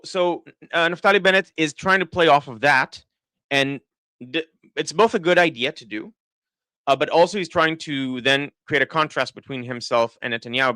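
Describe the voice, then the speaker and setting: Israeli man with an American-sounding accent, dry and bright. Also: mid-range voice, clean tone, dry recording. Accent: American-sounding English. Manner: thinking aloud, many restarts and fillers. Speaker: political commentator. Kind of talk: live-stream commentary reading chat.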